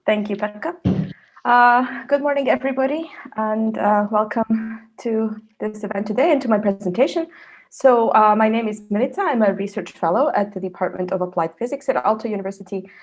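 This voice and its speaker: A woman's voice: mid-range voice, a little fast. Woman from Serbia giving a science talk. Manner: earnest, with frequent "uh" fillers. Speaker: research fellow presenter. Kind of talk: online scientific presentation.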